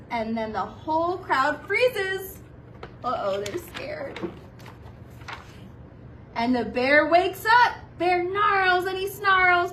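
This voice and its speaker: A woman's voice: very high-pitched, sharp tone, distant mic, slight background noise. A woman reading a children's picture book aloud as a kindergarten teacher, with playful asides to young listeners. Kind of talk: expressive children's storybook read-aloud.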